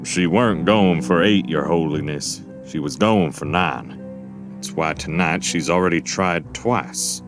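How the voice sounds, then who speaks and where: An actor playing a shrewd, rustic, plain-spoken man in an audio drama, steady in manner. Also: deep voice, unhurried, music in the background. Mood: insistent. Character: folksy, shrewd, plain-talking male companion named Silas. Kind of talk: dramatic audio fiction acting.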